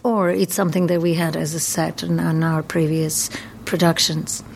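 A woman's voice: sits low, little pitch movement, moderate pace, gravelly, a little mellow, a little hiss or hum. A middle-aged woman from Croatia, a theater founder chatting hesitantly while giving a tour. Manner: chatty, hesitant with many ums. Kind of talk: guided tour interview.

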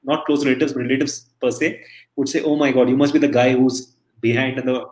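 Fast-talking man telling stories. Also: medium pitch, little pitch movement, a little mellow. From India. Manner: chatty, storytelling, many restarts. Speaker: interview guest, emcee and entertainer. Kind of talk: casual interview talk.

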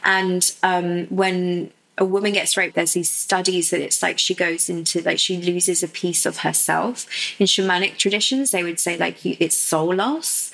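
A woman who works as a sexuality coach, explaining softly and unhurriedly as a guest in a podcast interview, with many fillers. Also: on the low side, fairly even intonation, normal speed, creaky, rough recording.

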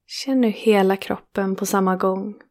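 A woman leading a guided relaxation meditation, speaking slowly and soothingly with long pauses between phrases. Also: medium pitch, steady tone, darkish tone.

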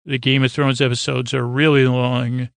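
A man with a creaky voice hosting a sleep podcast in a lulling, rambling way, hesitating and over-explaining as he goes. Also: mid-range voice, little pitch movement, normal speed, no echo. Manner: soothing, lulling. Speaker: podcast host.

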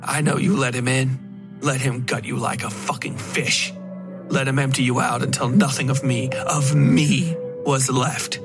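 A man's voice: medium pitch, flat delivery, unhurried, music in the background, natural voice. A man voicing a defiant, hard-edged woman writer in dramatic audio fiction, blunt and combative. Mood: hissing, accusing.

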